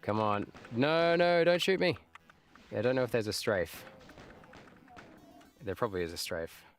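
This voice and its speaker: A man's voice: mid-range voice, big pitch swings, normal speed, soft background music. A man in his late thirties chatting casually while he plays a game live, reminiscing and trailing off into muttered asides. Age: 30s. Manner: nostalgic, rambling, with muttered asides. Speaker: livestreamer playing games. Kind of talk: casual live gameplay commentary.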